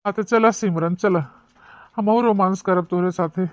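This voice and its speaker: A man's voice: high-pitched, normal speed, no room sound, close to an everyday voice. A man voicing a meek, simple married office man in a cartoon comedy, hesitant and stammering, with an Awadhi accent. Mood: smitten, confessing. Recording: muffled, dull, lo-fi sound.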